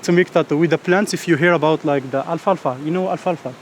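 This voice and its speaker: High-voiced Moroccan Amazigh guide. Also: male voice, fast talker, slight background noise. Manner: frequent "like", "exactly" and "okay?" checks. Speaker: local tour guide. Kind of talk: guided tour explanation. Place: outdoors in a village.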